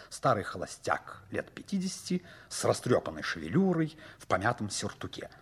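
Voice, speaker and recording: A man's voice: mid-range voice, husky. A man narrating a 1960s radio play in a dramatic, scripted storytelling style.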